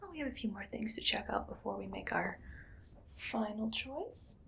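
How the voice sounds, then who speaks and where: A woman playing a musing, matter-of-fact mad scientist in an ASMR medical roleplay, murmuring in a breathy whisper with creaky edges. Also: mid-range voice, lively intonation, a bit bright, a little hiss or hum. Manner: unhurried, murmuring to self, clinically detached. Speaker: ASMR performer.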